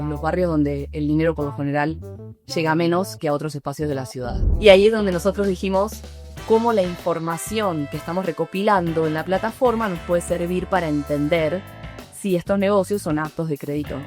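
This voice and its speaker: Low-voiced Argentine woman explaining calmly. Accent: Argentine Spanish. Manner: thoughtful, restarts phrases, explanatory. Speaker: startup founder, guest. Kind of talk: conversational interview answers.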